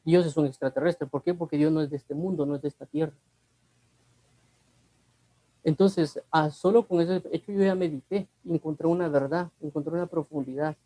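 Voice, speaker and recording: A man's voice: on the high side, mellow, no room sound, low-quality recording. A man with a Guatemalan Spanish accent gives a conversational Bible teaching. He repeats himself and asks the listener rhetorical questions. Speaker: preacher.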